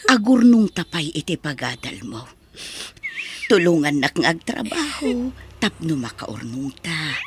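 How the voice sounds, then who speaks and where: A woman acts out a humble middle-aged mother in an audio drama. She speaks slowly, with a pleading, sighing tenderness. The recording carries faint noise. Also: sits low, very animated. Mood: sorrowful, tender.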